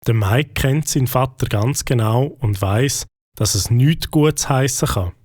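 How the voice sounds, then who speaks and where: A man with a Swiss German accent narrating a children's story calmly. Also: mid-range voice, a little slow, slight background noise.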